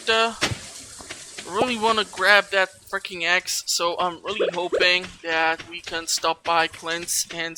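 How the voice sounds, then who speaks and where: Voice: mid-range voice, pushed and bright, slight background noise. A gaming video host giving casual let's play commentary, chatty and rambling while thinking aloud. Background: video game music.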